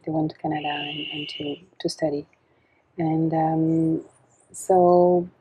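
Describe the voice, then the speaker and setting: A woman in her thirties from Belgium, a podcast guest talking reflectively on an outdoor porch, with many pauses and fillers. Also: deep voice, flat delivery, mellow, a little hiss or hum, poor audio. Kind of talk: casual interview talk. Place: covered porch outdoors in the tropics.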